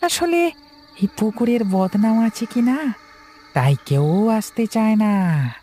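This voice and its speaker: Woman voicing a slow, eerie male ghost. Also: a little high, animated, mellow, a little hiss or hum. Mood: sly, calm. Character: eerie male fish-eating ghost posing as a villager. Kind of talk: cartoon ghost story acting.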